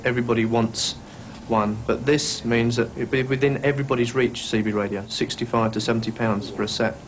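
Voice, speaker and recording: A man's voice: mid-range voice, steady tone, brisk, slight background noise. A man giving his informal opinions in an interview.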